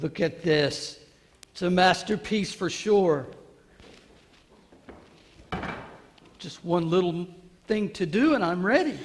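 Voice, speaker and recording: A man playing a proud, excitable biblical carpenter in a theatrical church monologue, emphatic and halting, repeating phrases to his listeners. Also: high voice, a little hiss or hum. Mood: proud, delighted. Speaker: monologue performer. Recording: reverberant church hall.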